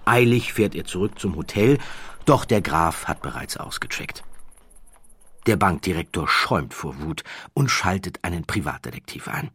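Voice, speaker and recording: Deep husky guy narrating an audiobook, dramatic storyteller style, really emphatic phrasing. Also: animated, moderate pace, fairly bright tone. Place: dry studio.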